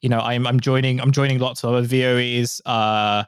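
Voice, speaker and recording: Man, podcast host, casual rambling chat, average pitch, dry close recording. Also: level tone, a little fast, clean tone, dry recording. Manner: rambling, lots of fillers and restarts.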